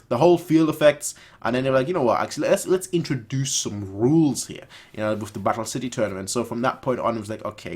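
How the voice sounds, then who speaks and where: A man's voice: fairly low pitch, darkish tone. Man giving rambling, emphatic commentary. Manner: rambling, frequently doubling back and repeating phrases for emphasis; emphatic, opinionated. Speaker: reaction video host. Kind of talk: casual off-the-cuff commentary.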